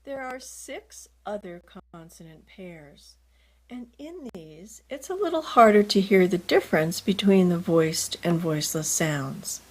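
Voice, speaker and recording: A woman teaching English pronunciation in a scripted lesson, enunciating deliberately and carefully. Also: medium pitch, animated. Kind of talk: scripted instructional lesson with demonstrations.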